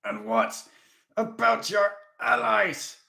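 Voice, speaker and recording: A man reading aloud, voicing a desperate male vampire warrior who shouts urgently while straining to hold on. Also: a little high, unhurried, pitched above the performer's own voice, lightly acted.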